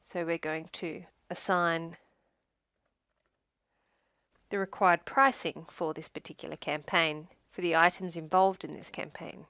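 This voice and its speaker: A woman narrating a scripted software tutorial as a demonstrator, in a measured, step-by-step instructional way. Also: fairly low pitch, steady tone, dry recording, clean studio sound.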